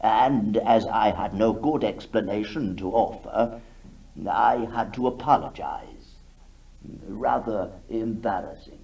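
A man playing a halting, self-important country squire giving evidence in a radio drama, stammering as he goes. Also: lowish voice, gravelly, darkish tone, distant mic, voice pushed down, low-quality recording. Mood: sheepish, earnest. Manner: hesitant, stammering. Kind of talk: 1960s radio drama acting.

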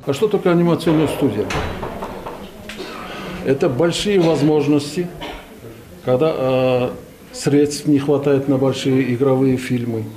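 A man in his sixties making formal press remarks in a measured way, with hesitant pauses. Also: medium pitch, a crowd in the background, rough recording. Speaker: official speaking to press. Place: bright indoor room, press gathering. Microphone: cluster of press microphones. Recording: busy room with people behind.

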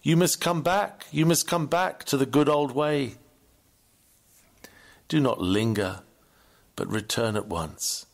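A man reading an old Victorian sermon aloud in an earnest, measured, oratorical manner. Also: mid-range voice, gravelly. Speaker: sermon reader.

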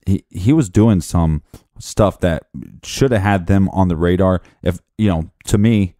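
Deep, dark-voiced blunt man. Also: unhurried, no room sound, clean studio sound. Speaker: podcast co-host. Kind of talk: casual true crime chat.

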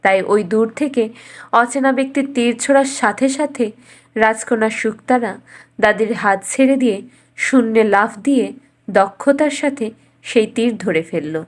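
A woman narrating a scripted bedtime fairy tale as a storyteller, in a measured, expressive way. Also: a little high.

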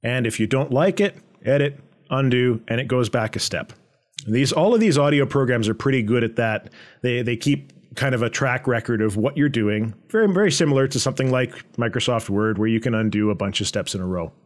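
A man presenting a software tutorial in a conversational, explanatory way with a medium-pitched voice. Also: expressive, fairly quick. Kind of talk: informal software tutorial narration.

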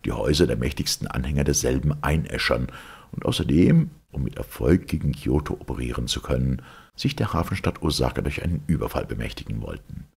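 A man reads a legend in an extremely deep voice. His tone is heavily husky and gravelly, full of creak. He delivers the scripted tale with lively rises and falls, in a measured literary storytelling style. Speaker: audiobook reader.

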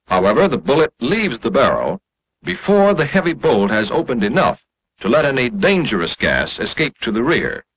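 Male narrator, American, 1940s instructional style: measured explanation, animated intonation, slightly husky tone, muffled vintage film audio. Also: medium pitch.

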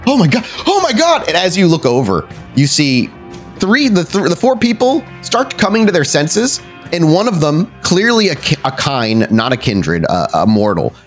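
A man's voice: a little high, very animated, moderate pace. A man narrating a tabletop horror game as storyteller, in a casual, conversational style. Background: faint music.

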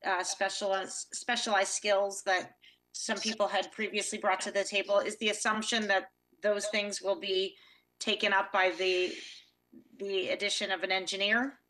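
A woman's voice: mid-range voice, little pitch movement, normal speed, pushed and bright, poor audio. A woman asking polite questions as a board member at a public budget hearing.

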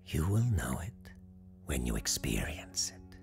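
A storyteller voicing a solemn, gentle talking Christmas tree in a breathy whisper. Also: a bass voice, sing-song, a little slow, soft background music, voice pushed down, exaggerated voice. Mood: solemn, mysterious.

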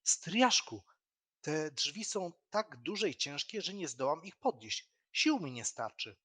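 Guy doing a keen naive fairytale boy, earnest n theatrical, bright raspy voice. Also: a little high, big pitch swings, a little slow, heavily acted. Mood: worried, pleading. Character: eager, naive boy Aladdin, obedient and curious.

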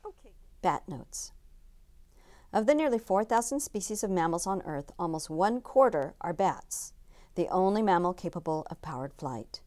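A woman's voice: on the low side, animated, normal speed, a little mellow. A woman reading a children's picture book aloud as a storyteller, with careful phrasing and dramatic emphasis.

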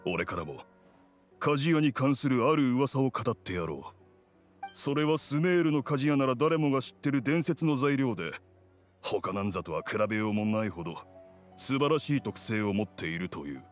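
A man voicing a gruff, proud game blacksmith. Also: mid-range voice, animated, natural voice. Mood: solemn, earnest. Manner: blunt, measured, rough-spoken. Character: gruff, proud, no-nonsense male blacksmith craftsman. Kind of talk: Japanese video game voice acting. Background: background music.

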